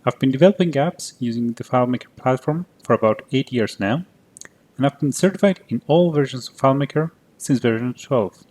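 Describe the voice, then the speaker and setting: Greek Cypriot man, 30s, tech presenter; clear, methodical delivery at a middle pitch. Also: animated, brisk, darkish tone. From Cyprus. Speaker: conference presenter. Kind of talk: prepared conference talk.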